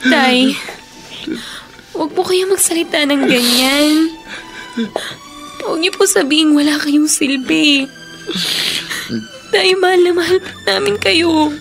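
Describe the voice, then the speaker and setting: A high-voiced, devoted young Filipino daughter. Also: female voice, slow, creaky, long pauses, a bit bright, faint music behind, exaggerated voice. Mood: pleading. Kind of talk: Tagalog radio drama acting.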